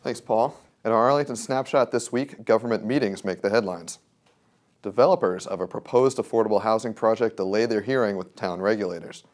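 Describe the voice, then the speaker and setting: A man's voice: fairly low pitch, a little fast, a little mellow. An American man reading a scripted local news report as a reporter.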